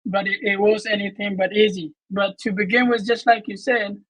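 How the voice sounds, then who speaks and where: A man, answering as a guest on a video call in an earnest, halting way. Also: sits high, level tone, a bit bright, dry recording, poor audio. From Ethiopia. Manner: earnest, with frequent restarts and fillers. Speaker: guest, medical student. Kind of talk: casual interview answers.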